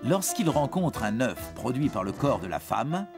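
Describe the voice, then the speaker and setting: Guy narrating a kids educational cartoon, super animated pitch, playful, music underneath. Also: measured pace, creaky. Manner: playful storytelling, addressing a young listener directly. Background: background music.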